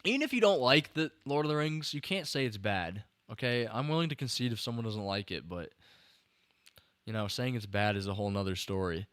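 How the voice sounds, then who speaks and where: A man's voice: medium pitch, lively intonation, brisk, fairly bright tone, dry recording. A young man hosting a livestream, rambling through a film breakdown with restarts while answering chat, and slightly tired. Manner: rambling, restarts sentences, talks to chat viewers. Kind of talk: casual enthusiastic film commentary to chat.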